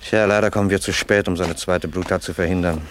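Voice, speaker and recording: An actor playing a shrewd, commanding investigator in a crime radio play, clipped, sardonic and authoritative. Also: male voice, deep voice, fairly even intonation, fast, slight background noise, close to an everyday voice.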